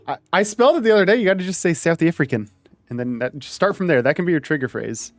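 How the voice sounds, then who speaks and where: Streamer type, man, lively giggly delivery, dry close sound. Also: on the high side, fairly quick, dry recording. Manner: joking, laughs often, talks in restarts. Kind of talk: casual gaming chat.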